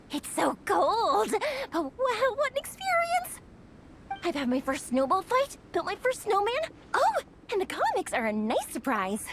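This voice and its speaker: A woman voicing a cheerful young woman who rambles eagerly about her comic hobby. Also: very high voice. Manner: bubbly, rambling. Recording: game audio with faint music.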